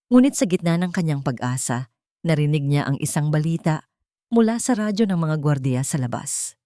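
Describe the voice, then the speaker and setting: A woman narrating a dramatic audio story in a steady, measured way. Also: low-pitched, dry recording, clean studio sound.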